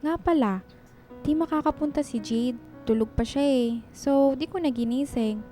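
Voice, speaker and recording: An actress playing a chatty, teasing young best friend in a radio drama. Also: female voice, high-pitched, normal speed, dark tone, faint music behind, lightly acted. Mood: teasing, friendly.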